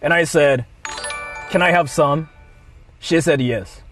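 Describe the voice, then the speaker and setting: Male streamer, higher-than-average pitch, slow deliberate delivery in broken phrases, mock-apologetic monologue. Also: fairly bright tone, soft background music. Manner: deliberate, halting short phrases. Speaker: livestreamer.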